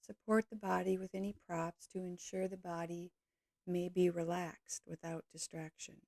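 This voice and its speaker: A woman leading a guided yoga nidra meditation, speaking calmly and gently in slow, measured short phrases. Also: on the low side, no room sound.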